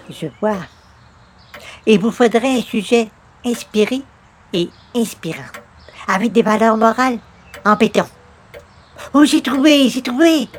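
A devout, gullible doorstep visitor from a radio comedy. He speaks with fussy, ceremonious politeness and breaks easily into exclamation. Faint noise sits behind the voice. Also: extremely high pitch. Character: overly polite, pious, naive male religious door-to-door visitor. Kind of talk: old-time radio comedy acting.

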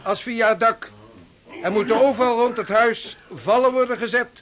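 A man's voice: very high voice, normal speed, fairly bright tone. A man playing a severe, formal ship's officer in a radio drama, speaking with declamatory authority. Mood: formal, stern.

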